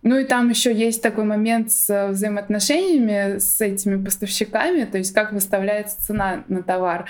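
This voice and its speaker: Woman guest in an interview, medium pitch, explains stuff informally, hedges a bit. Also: steady tone, fairly quick, few pauses, darkish tone. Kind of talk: conversational explanatory interview talk.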